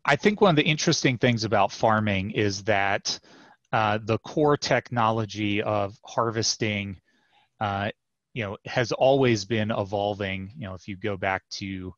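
A man's voice: lowish voice, unhurried, dry recording. A man in his thirties, a product manager, answering interview questions over a video call in a thoughtful way with many fillers and restarts. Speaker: software product manager, guest. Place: home office with bookshelves. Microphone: headset mic on video call. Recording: dull dry headset audio.

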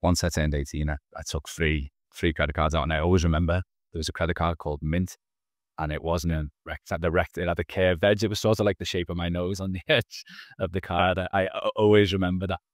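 A man's voice: low voice, steady tone, fast, dry recording, clean studio sound. A man in his thirties, a podcast guest telling his own story earnestly over a video call, often restarting his words. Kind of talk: candid personal storytelling. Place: small indoor room. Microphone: video call, wearing headphones.

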